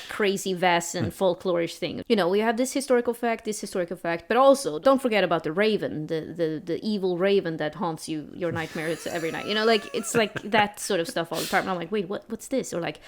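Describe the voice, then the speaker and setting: A woman's voice: lowish voice, fairly quick. A young woman from Sweden running a tabletop role-playing game as game master, chatting casually with frequent laughs and restarts.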